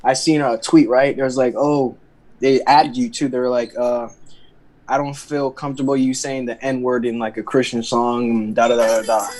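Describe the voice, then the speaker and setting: A man's voice: mid-range voice, level tone, moderate pace, a little mellow, low-quality recording. A man calling in on a video call, asking a rambling question with hesitant, half-laughing delivery. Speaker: caller. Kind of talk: casual chat. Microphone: video call with camera off.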